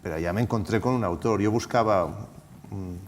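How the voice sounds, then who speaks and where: A man's voice: lowish voice, fairly quick. Catalan-accented guy on a panel, lots of restarts, long echoey hall sound. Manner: hesitant, many restarts and repeated words. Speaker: theatre director. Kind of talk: panel discussion. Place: auditorium at a round table. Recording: reverberant hall.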